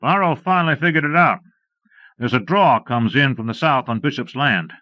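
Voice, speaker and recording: A man's voice: mid-range voice, animated, moderate pace, dry recording, pitched above the performer's own voice, natural voice. Man reading as a stern, raised-voice Western lawman. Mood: matter-of-fact. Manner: commanding, clipped statements. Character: stern, terse Texas Ranger lawman in a Western. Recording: dull lo-fi cassette transfer.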